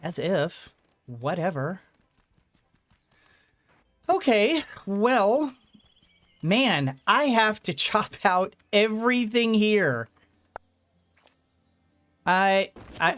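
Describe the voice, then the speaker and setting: A man's voice: sits high, smooth. Rambling man doing game commentary. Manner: rambling, thinking out loud, talking to the game. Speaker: gaming video host. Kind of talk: casual let's play commentary.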